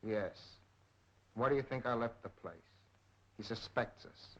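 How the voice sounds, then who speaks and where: A man's voice: mid-range voice, unhurried, lightly acted. Curt male spy played by an actor, lo-fi. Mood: cool, curt. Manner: terse, flat replies. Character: cool, curt male spy plotting against a detective. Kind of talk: 1930s mystery film acting. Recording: dull, lo-fi 1930s film soundtrack.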